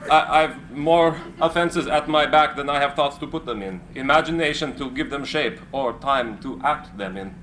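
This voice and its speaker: An actor playing a shy, high-minded inventor in a script reading, speaking formally with halting stammers. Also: male voice, a bit bright, lightly acted. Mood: nervous, pleading. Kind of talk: live screenplay reading. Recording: live room recording with faint noise.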